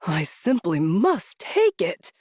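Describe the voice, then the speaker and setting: A woman's voice: on the low side, wildly swooping pitch, slow, a little mellow, no room sound, clean studio sound, pitched below the performer's own voice, heavily acted. A woman voicing a very old man in a storybook, kindly, earnest and easily charmed, speaking with simple wonder. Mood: delighted, eager.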